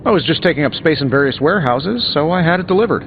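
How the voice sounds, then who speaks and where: An actor playing a wisecracking, easygoing wealthy superhero inventor in a video game, talking in a glib, wry, conversational way. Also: male voice, fairly high pitch, expressive, quick pace, phone-quality sound, slight background noise. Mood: wry, breezy. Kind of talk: video game dialogue acting.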